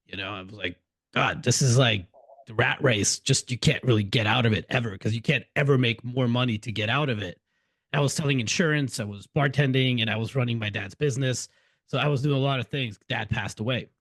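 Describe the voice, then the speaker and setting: A man's voice: medium pitch, fairly quick, a bit bright, no room sound. Chatty Hungarian-raised man, dry sound. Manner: chatty, loose, restarts sentences often. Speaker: podcast host. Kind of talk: casual interview chat.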